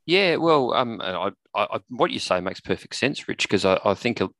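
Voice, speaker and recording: Male podcast interviewer, fairly low register, conversational and a little halting, recorded dry. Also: expressive, a bit bright, dry recording.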